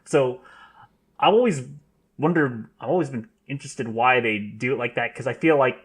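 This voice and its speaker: A man's voice: mid-range voice, moderate pace, breathy edge. Rambling man in casual podcast chat. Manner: rambling, heavy on "like" and "you know", soft trailing. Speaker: podcast co-host.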